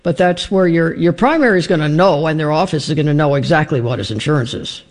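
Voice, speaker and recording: An encouraging man hosts a call-in radio program. His voice sits high for a man, and he backs his callers up warmly. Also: fast talker. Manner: supportive, encouraging. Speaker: radio host.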